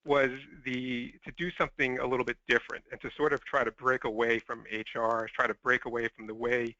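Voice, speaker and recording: Male call participant, rapid and sharp-toned, informal, qualifying his points, muffled dry line. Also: mid-range voice, little pitch movement, no echo. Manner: tentative, qualifying. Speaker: call participant, recruiting group organizer. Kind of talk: informal opinion on a conference call. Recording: dull conference call line.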